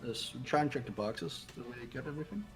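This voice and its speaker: A man's voice: medium pitch, a little fast, husky, faint music behind. A young man chatting casually as a player in a group game playthrough, offering banter and commentary.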